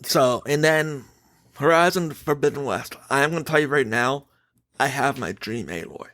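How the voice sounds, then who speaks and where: A man's voice: mid-range voice, animated, moderate pace, a bit bright, no room sound, clean studio sound. A man hosting a podcast, giving his opinions in casual, emphatic chat and putting questions to his co-host.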